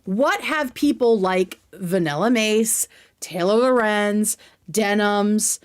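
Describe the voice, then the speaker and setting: A woman's voice: medium pitch, takes it slowly. Sarcastic woman streamer, emphatic and slangy. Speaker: livestream host. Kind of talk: casual livestream commentary.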